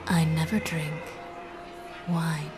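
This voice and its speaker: Sultry vampire hunter gal from a game, lowish dry sarcastic voice, music under it. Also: female voice, level tone, slow. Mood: cool, sardonic. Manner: cool, dry, sardonic. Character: sultry, sardonic half-vampire huntress. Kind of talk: video game cutscene acting. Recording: music behind the voice.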